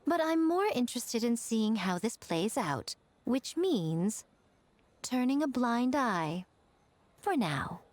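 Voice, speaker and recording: A woman voicing a poised, teasing, shrewd woman of authority in a video game, measured and playful. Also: a little high, very animated, no echo, heavily acted. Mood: amused, knowing. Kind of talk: English video game voice acting.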